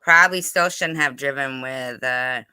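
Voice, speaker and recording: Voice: mid-range voice, moderate pace, sharp tone, clean tone, dry recording. An interviewer in a casual, confrontational conversation, blunt and pressing, who swears freely.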